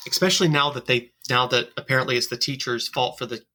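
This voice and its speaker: Female podcast guest, bright voice, frank and determined. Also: rumbling low, steady tone, fast. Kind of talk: casual interview answers.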